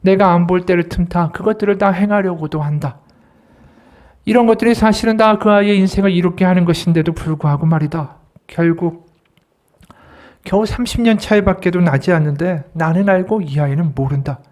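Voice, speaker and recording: A man's voice: high-pitched, fairly quick, a little mellow. A man, an associate pastor, giving a Sunday sermon, explaining scripture and asking the congregation rhetorical questions.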